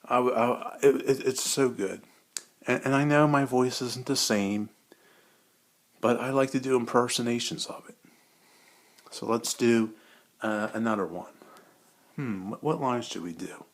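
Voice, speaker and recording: A man's voice: mid-range voice, measured pace, pauses often. A man rambling casually and enthusiastically, with a hesitant, repetitive way of talking, like a hobby vlogger.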